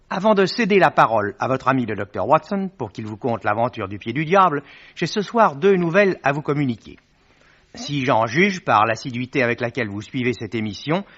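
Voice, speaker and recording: A man presents in a ceremonious, courteous 1950s radio manner. His voice is medium-pitched and the speech is formally scripted. The recording is dull and lo-fi. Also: fairly bright tone.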